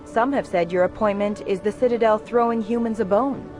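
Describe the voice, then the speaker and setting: An actress plays a pushy television reporter in a sci-fi game. She questions in a polished, needling way at a medium pitch, with clear music behind. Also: a little fast, lightly acted. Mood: probing. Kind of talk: video game acting as news interview.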